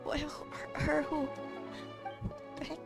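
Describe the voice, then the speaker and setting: A woman reacts casually to a game she is playing. Her voice is high with frequent vocal fry, in short offhand remarks, with game music clearly behind her. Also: monotone, slow pace. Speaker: game streamer. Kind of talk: casual gameplay commentary.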